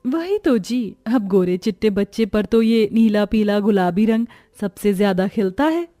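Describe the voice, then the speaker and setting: A woman's voice: medium pitch, animated, unhurried, warm tone, close to an everyday voice. A woman voicing a vain, spiteful mother in a dramatic, haughty way for a moral story cartoon. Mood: proud. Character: vain, spiteful mother, scornful to one daughter, doting on another. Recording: music behind the voice.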